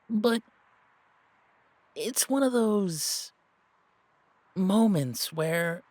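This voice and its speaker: Man as a halting young werewolf, slow-paced. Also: high-pitched, no echo, pitched above the performer's own voice, full character voice. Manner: hesitant, rambling, halting. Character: earnest young male werewolf, anxious, thinking aloud. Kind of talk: audio roleplay drama.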